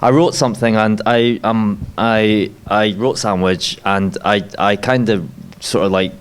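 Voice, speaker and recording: Low male actor from Scotland, loose and rambling on a panel. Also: fairly even intonation, a little slow, smooth. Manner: rambling, heavy fillers like "like" and "you know". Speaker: actor and filmmaker. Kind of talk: festival panel talk.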